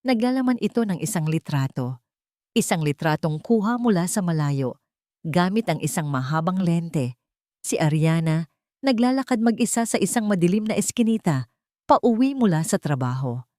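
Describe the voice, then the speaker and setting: A woman's voice: fairly low pitch, lively intonation, moderate pace, warm tone, dry recording, clean studio sound. A woman reading a dramatic romance story as a scripted narrator.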